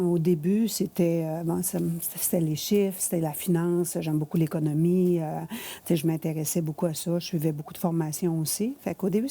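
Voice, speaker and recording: Quebec lady in her 60s chatting in an interview, kinda low mellow voice, pauses and hesitates. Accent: Quebec French. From rural Quebec, Canada. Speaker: interview guest, finance manager. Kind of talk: conversational interview answers.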